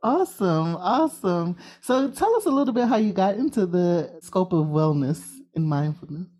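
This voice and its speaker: A woman's voice: fairly low pitch, animated, moderate pace, dark tone, husky. A woman hosting a podcast, friendly and affirming, laughing often as she talks with her guest. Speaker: podcast host. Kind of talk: podcast interview.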